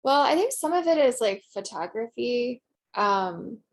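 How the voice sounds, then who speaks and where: A woman's voice: fairly high pitch, big pitch swings, measured pace. A woman student talking casually and tentatively in a class discussion, peppering her speech with filler words. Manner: tentative, heavy use of "like".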